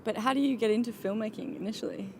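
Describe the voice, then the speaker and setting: A woman of middle pitch hosts a casual outdoor interview, putting brief, direct questions to her guest. A faint wash of outdoor ambience sits under her voice. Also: fast, gravelly, a little mellow, slight background noise, poor audio. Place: outdoors overlooking the ocean.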